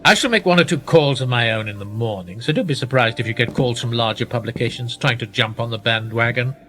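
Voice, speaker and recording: An actor playing a powerful, manipulative titled gentleman in a radio play, measured and condescending, with quiet menace. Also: medium pitch, natural voice. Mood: brisk, assured. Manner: measured, condescending, with rhetorical tag questions. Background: music.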